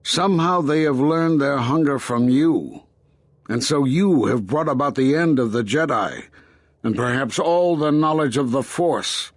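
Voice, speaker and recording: An actor playing a stern, condemning older Jedi master in a video game, speaking gravely and deliberately with a husky voice. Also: male voice, medium pitch, animated, no echo, studio quality. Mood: grim. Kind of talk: dramatic video game dialogue.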